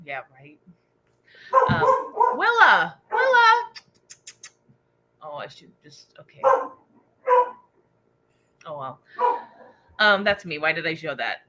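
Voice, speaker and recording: A woman hosting a casual book livestream, excitable and chatty as she reacts to viewer comments. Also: on the low side, slow, long pauses, heavy background noise. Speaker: book discussion livestream host.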